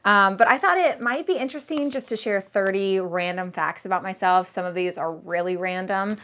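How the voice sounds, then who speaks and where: A thirty-year-old woman vlogging about her candle business in a relaxed, rambling chat, full of fillers and "like"s while she works with her hands. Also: mid-range voice, moderate pace. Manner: chatty, rambling with fillers and likes. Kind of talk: casual chat to camera.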